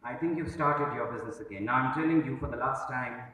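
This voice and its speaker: A man's voice: medium pitch, quick pace, sharp tone, lightly acted. A man voicing a timid, shrinking lover who pleads and then snaps, heard from afar in an echoey hall. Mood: strained. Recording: echoey hall, far from mic.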